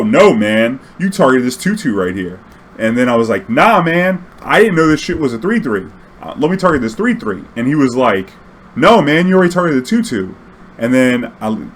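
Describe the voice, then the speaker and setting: Slangy man storytelling over background noise. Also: mid-range voice. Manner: slangy, swearing, animated retelling with acted-out dialogue. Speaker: storyteller recounting his own tournament games. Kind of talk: casual spoken anecdote.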